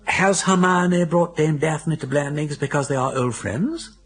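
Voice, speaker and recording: An actor playing a witty, teasing older English aristocrat in a British radio comedy, chatty and urbane with a wry theatrical manner. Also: male voice, a little high, unhurried, fairly bright tone, breathy edge. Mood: mock warning.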